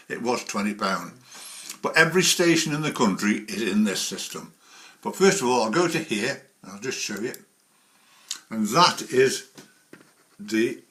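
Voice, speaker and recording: A man's voice: mid-range voice, a bit bright. A man giving a casual, rambling spoken tutorial as a presenter, explaining each step as he goes. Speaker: presenter of a model railway software tutorial.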